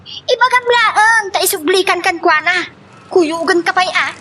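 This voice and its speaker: A woman's voice: very high-pitched, unhurried, bright, forceful tone, heavily acted. An actress playing a scornful, bitter woman who nags and confronts her lover in an Ilocano melodrama. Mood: insistent, needling. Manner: nagging, confrontational. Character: scornful, bitter female lover named Monica, demanding and fiery. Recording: faint background noise.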